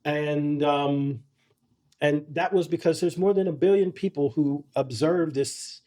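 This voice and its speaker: A middle-aged man, a podcast guest, telling personal stories in an earnest, measured way with frequent restarts. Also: fairly high pitch, mellow. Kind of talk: reflective conversational storytelling.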